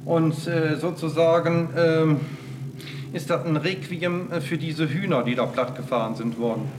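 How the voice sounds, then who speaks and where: An older man talking informally as an artist showing his work, halting and repetitive, often stammering into restarts. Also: fairly high pitch. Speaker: artist interviewee showing own works. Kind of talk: rambling informal talk. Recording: echoey room, music underneath.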